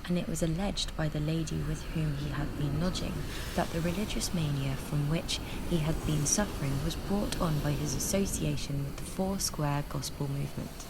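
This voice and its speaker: A woman's voice: low-pitched, moderate pace, hardly any pauses. A woman giving a scripted reading of formal reports. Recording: very noisy, loud ambient background.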